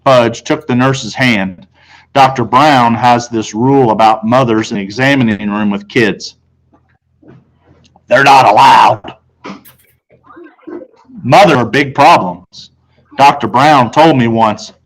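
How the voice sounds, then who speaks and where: A man's voice: medium pitch, slow pace, pauses often, rough recording. American man reading a children's story aloud. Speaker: teacher reading aloud to class. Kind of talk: audiobook-style read-aloud of children's novel, first person.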